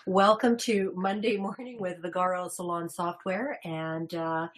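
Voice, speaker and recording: A woman's voice: fairly low pitch, steady tone, measured pace. A woman consultant presenting a salon business webinar in a chatty, upbeat way, laughing easily.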